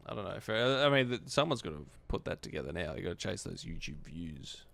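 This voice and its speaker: A man's voice: on the low side, very animated, brisk, creaky, fairly bright tone. A young Australian man co-hosting a podcast, chatting and joking in a matey, casual way. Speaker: podcast co-host. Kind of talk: casual chat.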